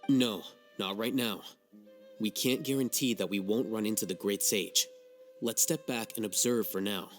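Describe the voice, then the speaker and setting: Man playing a low-voiced, aloof young scholar. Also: soft background music, close to an everyday voice. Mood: calm, businesslike. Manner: measured, aloof, dry, formal. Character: cool, haughty young male scholar, composed and sardonic. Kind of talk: video game dub acting.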